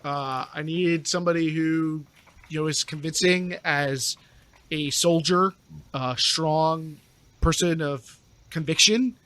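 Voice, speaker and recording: High-pitched man, dry close sound. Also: steady tone, slow pace, a bit bright, no echo. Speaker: podcast guest. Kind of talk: casual chat.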